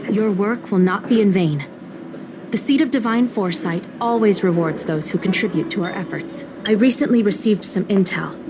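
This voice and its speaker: A woman playing a composed, formal official in a video game, speaking in a measured way. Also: mid-range voice, noisy background, lightly acted. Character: composed female intelligence official assigning an investigation. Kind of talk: scripted video game dialogue.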